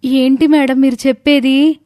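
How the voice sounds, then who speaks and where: A woman's voice: high voice, flat delivery, unhurried, a little mellow, no room sound, clean studio sound, pitched above the performer's own voice. A woman voicing an eager, respectful young female student in a story, earnest and polite. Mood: curious, shocked.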